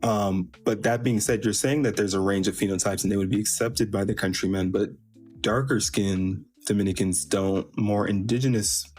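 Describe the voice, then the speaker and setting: Low-voiced man, hesitant, faint music. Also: fairly even intonation, moderate pace, a little mellow. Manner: hesitant, repeats words when challenged. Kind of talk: casual debate.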